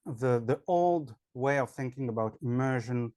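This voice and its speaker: A man's voice: mid-range voice, measured pace, warm tone, no echo, studio quality. A man with a French accent, an online English teacher, answering interview questions in a hesitant way with many fillers. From France.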